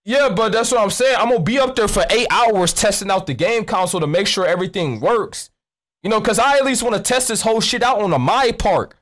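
A young American man with a high voice rambles nonchalantly in street slang. He swears freely as he works a prank call, speaking close into a studio mic. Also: lively intonation, a little fast, fairly bright tone. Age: young adult. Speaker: prank caller and streamer. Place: indoors at a streaming desk. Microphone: close studio mic with pop filter, headset on.

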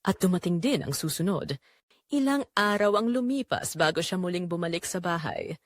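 A woman's voice: on the low side, expressive, normal speed, dry recording, clean studio sound. A woman narrating a scripted first-person dramatic story.

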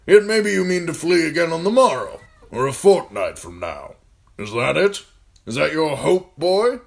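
A man voicing a gruff older military commander in an audiobook, talking bluntly and firing off needling questions. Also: on the high side, sing-song, normal speed, voice pushed up. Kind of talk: audiobook character voice.

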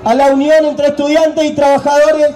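A man's voice: extremely high pitch, monotone, moderate pace, mellow, some chatter behind. A young man delivering an emphatic solidarity speech at an outdoor rally through a handheld mic. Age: young adult. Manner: emphatic, raised-voice oratory. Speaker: student federation delegate. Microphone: handheld mic over a PA.

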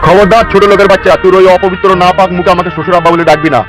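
A man's voice: high voice, fairly even intonation, rapid-fire, close to an everyday voice, poor audio. A man voicing a middle-aged, hot-tempered father in a comedy cartoon, ranting and scolding over music. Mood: furious. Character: furious, foul-mouthed protective village father. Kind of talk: melodramatic comedy cartoon dubbing. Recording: music under the voice.

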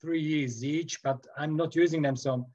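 A Serbian-accented man in his forties talks over a video call from his home workroom, his voice a little high. He stops and restarts often, filling gaps with ums. The sound is dull and muffled. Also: fairly even intonation, a little mellow. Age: 40s. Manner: halting, many fillers and restarts, laughs often. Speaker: guest sculptor.

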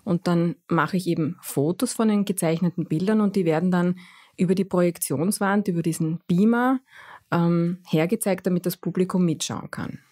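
A middle-aged Viennese woman answers interview questions with a somewhat low voice. She speaks in a thoughtful, hesitant way, filling pauses often as she explains her craft. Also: dark tone. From Vienna, Austria. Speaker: guest, graphic recorder.

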